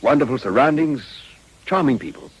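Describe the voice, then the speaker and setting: A man playing a courtly, flattering upper-class gentleman suitor in a period drama, with florid, formal manners. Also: medium pitch, moderate pace, husky, rough recording. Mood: courtly, admiring.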